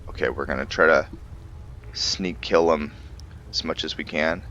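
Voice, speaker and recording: Very deep-voiced man doing game commentary. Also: steady tone, a little slow, fairly bright tone, dull audio, slight background noise. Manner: talks to himself, thinking aloud. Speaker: gamer hosting a roleplay playthrough. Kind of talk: casual gameplay commentary.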